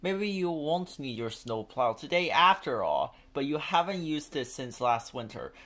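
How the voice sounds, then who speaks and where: A patient, practical train driver from a children's storybook, read by a man. He speaks kindly and coaxes gently. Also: a little high, sing-song, measured pace. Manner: gently coaxing.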